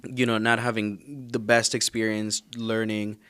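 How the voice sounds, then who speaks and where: A man's voice: on the low side, little pitch movement, a little slow, fairly bright tone, smooth. A man whose first language is Spanish, a guest in a casual conversation, telling a personal story in an easygoing way and laughing often. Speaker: guest, theology student.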